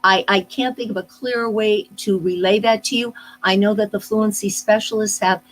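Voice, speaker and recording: A middle-aged woman, a speech pathologist, answering interview questions in a thoughtful, unhurried way, with many pauses and restarts. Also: mid-range voice, fairly even intonation, low-quality recording. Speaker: speech-language pathologist guest. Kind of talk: live online interview, explaining casually.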